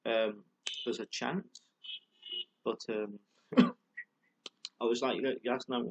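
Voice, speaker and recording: A man's voice: medium pitch, steady tone, slow, a little mellow, breathy edge, highs cut off. A man vlogging a rambling, heated monologue, full of fillers, stumbles and repeated words, with plenty of swearing. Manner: swears a lot and gets heated. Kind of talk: ranting monologue to camera.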